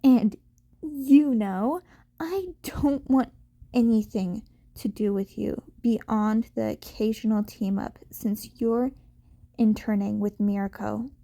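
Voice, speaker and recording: A woman voicing a guarded, snarky and awkward teenage boy vigilante in an audio story, with a stammering, hurried delivery. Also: on the high side, warm tone. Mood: defiant.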